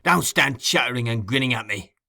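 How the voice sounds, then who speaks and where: A man's voice: mid-range voice, expressive, a little fast, vocal fry, pushed and bright, full character voice. A man voicing a surly, brutal male thief who growls and curses, in a storybook reading. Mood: impatient. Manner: growling, savage, cursing.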